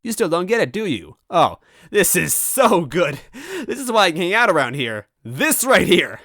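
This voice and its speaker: Trickster ghost, done by a male narrator: raised pitch, slangy, gleeful and mocking, very lively swings. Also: moderate pace, dry recording, clean studio sound, exaggerated voice. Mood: gleeful mockery. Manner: slangy, teasing, gleeful drawl.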